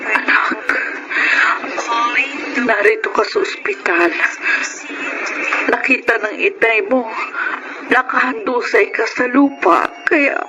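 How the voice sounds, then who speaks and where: A woman playing a gentle, patient wife and mother in a Filipino radio drama, speaking soothingly and deliberately. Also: on the high side. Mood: concerned, gentle. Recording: dull sound, loud music bed.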